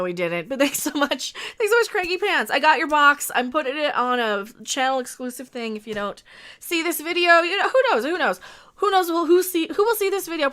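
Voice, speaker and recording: A woman's voice: high voice, a bit bright. A sniffly woman hosting a casual live stream, rambling bluntly with restarts and asides to her chat.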